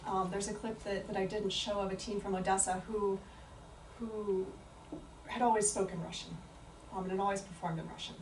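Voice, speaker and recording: Woman, book talk speaker, thoughtful, halting with fillers, distant mic, echoey room. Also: medium pitch. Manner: thoughtful, many fillers and restarts. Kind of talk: answering audience questions at a talk. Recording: echoey room from far off with faint noise.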